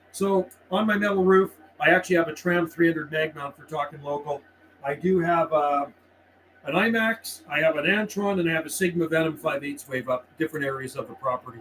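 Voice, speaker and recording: High-voiced Canadian man over clear background noise. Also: fairly quick, a bit bright, poor audio. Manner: friendly, laid-back, uses buddy and man often. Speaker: livestream host and CB radio operator. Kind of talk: casual radio chat and channel calls. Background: CB radio noise and ambience.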